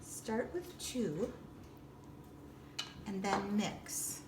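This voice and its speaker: A woman's voice: mid-range voice, lively intonation. Echoey woman giving step-by-step instructions. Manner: deliberate, simple explanatory phrasing. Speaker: librarian giving a baking demonstration. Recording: distant roomy echo with background noise.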